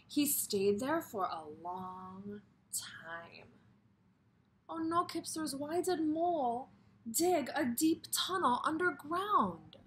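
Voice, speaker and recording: A woman's voice: high-pitched, animated, takes it slowly, a little hiss or hum. A woman kindergarten teacher reading a story aloud expressively and putting questions directly to young children.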